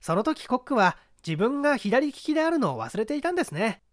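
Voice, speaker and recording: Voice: high voice, sing-song, quick pace, no room sound, clean studio sound, voice pushed up. A narrator voicing a clever schoolboy detective, explaining a crime with methodical assurance in an audiobook reading. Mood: confident, explaining.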